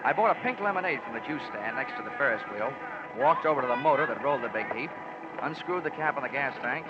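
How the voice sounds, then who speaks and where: An actor playing a sly, hard-boiled American insurance investigator with a sardonic, glib delivery in a 1940s radio drama. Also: male voice, mid-range voice, animated, fast talker, natural voice. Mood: wry narration. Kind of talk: 1940s radio acting and narration. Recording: noisy muffled old radio recording.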